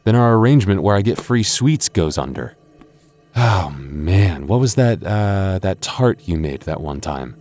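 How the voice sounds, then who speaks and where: Guy doing a goth bf asmr roleplay, really low voice, playful teasing, faint music underneath. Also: animated, a little slow, darkish tone. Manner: teasing, stammering, chuckling often. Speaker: voice actor.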